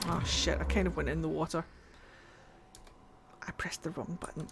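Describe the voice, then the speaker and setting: A woman's voice: low-pitched, steady tone, moderate pace, gravelly, background noise. A woman with a Scottish accent streaming a game, reading in-game lore aloud and chatting casually with her viewers, swearing freely. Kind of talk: casual gameplay commentary and dramatic codex reading.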